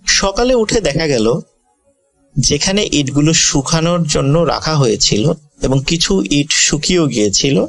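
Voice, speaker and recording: A man narrating a ghost story to listeners as a storyteller, in a measured, formal and polite manner. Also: a little high, creaky, a bit bright. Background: music.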